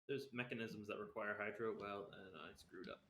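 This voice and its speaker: A male game streamer talking casually to his live audience. His voice is fairly low with a bright, forward edge, over faint noise. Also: fairly even intonation, normal speed.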